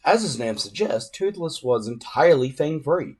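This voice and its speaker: A man reading a storybook aloud in an expressive, dramatic way. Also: mid-range voice, moderate pace, slightly rough. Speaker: reader.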